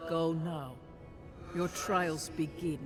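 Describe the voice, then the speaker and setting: An actor playing an ancient, solemn guardian spirit of a fallen people in a video game, speaking formally and with measured gravity. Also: medium pitch, fairly even intonation, lightly acted. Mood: commanding. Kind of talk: scripted dramatic game narration. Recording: game music behind. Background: clear background music.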